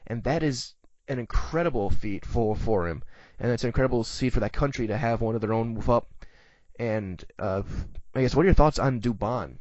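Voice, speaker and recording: A man's voice: mid-range voice, normal speed, darkish tone, no echo, muffled recording. A man with an American accent hosting a sports show, talking through the news in a conversational commentary style. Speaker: sports podcast host.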